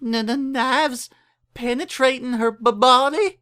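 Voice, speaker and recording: A tiny, very high-pitched cartoon pony girl, timid and easily scared. The little filly sounds tremulous and unsure. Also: slow, fairly bright tone. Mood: frightened. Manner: trembling, stammering.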